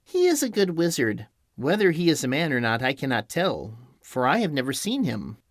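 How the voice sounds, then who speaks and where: A man voicing a gentle little old witch. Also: fairly high pitch, wildly swooping pitch, normal speed, no echo, studio quality. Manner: gentle, motherly. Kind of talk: audiobook character voice.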